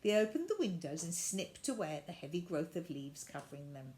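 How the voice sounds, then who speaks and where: A woman reading a children's story aloud, with deliberate, expressive narration. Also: sits low.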